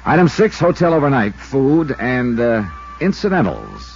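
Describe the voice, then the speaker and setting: A self-assured American investigator from 1950s radio drama. His delivery is wry and very animated in pitch. The sound is an old, muffled broadcast with music clearly behind it. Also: a little slow, full character voice. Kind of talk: 1950s radio detective drama narration and dialogue. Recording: dull 1950s radio recording, loud music.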